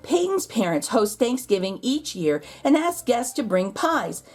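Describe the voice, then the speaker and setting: A woman's voice: fairly high pitch, very animated, unhurried, darkish tone, husky, soft background music. A woman host reading a children's storybook aloud expressively, drawing out key words for emphasis. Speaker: children's picture book read-aloud host.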